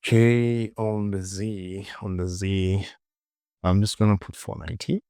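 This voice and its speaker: A man giving an informal software tutorial as a voice-over, often repeating words and short phrases. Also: on the low side, flat delivery, unhurried, clean tone, dry recording, studio quality. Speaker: 3D modelling tutor. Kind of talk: casual screen-recorded software tutorial.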